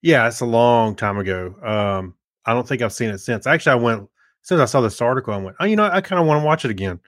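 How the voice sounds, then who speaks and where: A man's voice: medium pitch, expressive, fast, a bit bright, dry recording, clean studio sound. A middle-aged man co-hosting a podcast, chatting casually in a hesitant, rambling way. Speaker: podcast co-host. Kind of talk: casual chat about movies.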